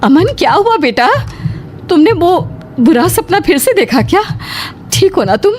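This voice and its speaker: A woman's voice: extremely high pitch, sing-song, a little slow, darkish tone, slight background noise, exaggerated voice. A woman playing a worried, protective middle-aged mother in a cartoon story, fussing and cautioning. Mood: concerned. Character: Sushila, worried protective mother of grown son. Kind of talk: dramatised Hindi cartoon story dialogue.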